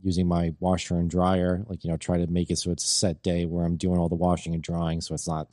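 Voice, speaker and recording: A young man in his twenties answers a host's questions with hesitant, filler-heavy chat. His voice is very deep and mellow, recorded dry and close in a studio. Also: monotone, a little fast, no room sound. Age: 20s. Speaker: co-host. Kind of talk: casual talk show chat.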